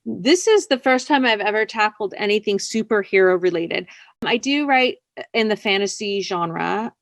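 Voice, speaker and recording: A woman's voice: mid-range voice, lively intonation, moderate pace, creaky, no room sound. Chatty woman in a dry-sounding interview. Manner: chatty, laughs while talking. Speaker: interview guest, writer. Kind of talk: casual conversational interview.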